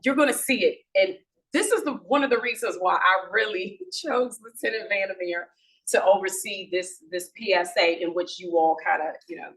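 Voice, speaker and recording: A woman's voice: mid-range voice, vocal fry, a bit bright, distant mic, rough recording. A woman police commander addressing a community meeting without a microphone, chatty and informal, with many fillers and restarts. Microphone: no microphone, from across the room.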